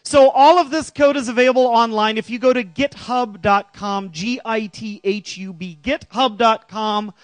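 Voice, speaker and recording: A middle-aged man with a big, loud voice presents to a conference audience from a large auditorium stage. His voice sits high and lively, and he keeps turning to the listeners with quick questions. The handheld mic sounds close and dry. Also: no room sound. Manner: animated, jokey, asks the audience questions. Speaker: conference speaker. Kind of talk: tech conference talk. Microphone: handheld microphone.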